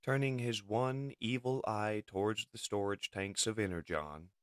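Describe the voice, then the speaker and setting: A man's voice: on the low side, measured pace, dry recording, clean studio sound. A man giving dramatic scripted narration as the storyteller of a comic book reading.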